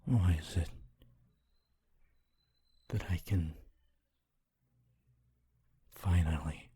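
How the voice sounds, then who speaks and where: A man playing a gentle, lonely, centuries-old ghost of a decaying mansion in a solemn, halting ASMR roleplay. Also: heavily acted. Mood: wondering, earnest. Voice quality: deep, soft, husky and breathy. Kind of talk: ASMR audio roleplay, slow scripted monologue.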